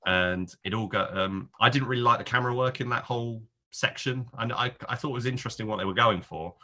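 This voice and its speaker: A man's voice: mid-range voice, quick pace, sharp tone. A man reviewing films on a podcast in casual talk, thinking aloud in rambling, hesitant clauses. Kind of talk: casual spoken film review.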